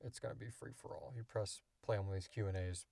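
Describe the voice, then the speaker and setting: A man's voice: fairly low pitch, quick pace, vocal fry, warm tone, no room sound, studio quality. A man co-hosting a show, chatting off the cuff in an informal, rambling way as he explains things. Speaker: podcast co-creator and co-host. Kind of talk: casual unscripted Q&A chat.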